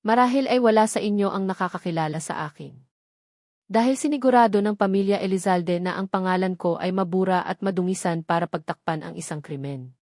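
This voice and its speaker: A woman's voice: mid-range voice, a little fast, a little mellow, no room sound, studio quality, natural voice. A woman voicing a steadfast, wronged young woman in an audio story, speaking formally and firmly. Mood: resolute.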